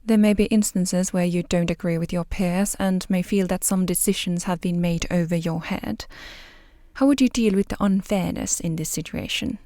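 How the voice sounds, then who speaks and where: Woman acting as mellow, composed formal interviewer. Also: fairly low pitch, fairly even intonation, moderate pace, no room sound, natural voice. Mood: reassuring, businesslike. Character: composed, formal female interviewer screening diplomat candidates.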